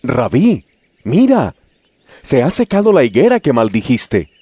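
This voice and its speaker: Casting: astonished follower, extremely swooping pitch, dry close sound, exclamatory, scripture drama. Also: male voice, huge pitch swings, a little slow, mellow, slightly rough, dry recording, heavily acted. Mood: amazed.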